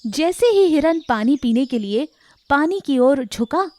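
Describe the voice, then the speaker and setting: Female narrator, higher-pitched, for scripted children's animal fables. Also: animated, unhurried, darkish tone. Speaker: storyteller.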